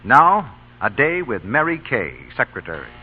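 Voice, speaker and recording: Male vintage radio commercial announcer, lively intonation, tinny band-limited recording. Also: medium pitch, moderate pace, breathy edge. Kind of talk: 1930s-40s scripted radio announcing and commercial.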